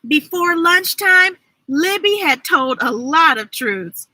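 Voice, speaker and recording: A woman reading a children's story aloud, expressive and deliberate, adding her own asides. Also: extremely high pitch. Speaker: storyteller, host.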